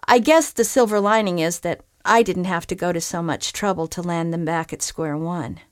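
A woman voicing a sharp-tongued female enchanted groundhog who talks with blunt, sarcastic scolding in a storybook reading. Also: on the low side, moderate pace, no echo, studio quality, lightly acted. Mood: weary.

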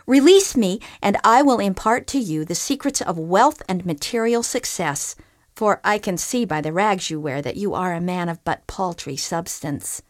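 A woman's voice: fairly low pitch, lively intonation, moderate pace, no room sound, clean studio sound, close to an everyday voice. Woman voicing a sassy, blunt enchanted groundhog. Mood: grand. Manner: sarcastic, scolding.

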